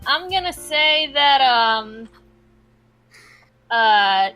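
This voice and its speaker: High-voiced young woman gaming with friends. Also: animated, very slow, bright, forceful tone, faint music behind, rough recording. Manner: hesitant, swears casually. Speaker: co-host of a gaming channel. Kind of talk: casual let's-play chat.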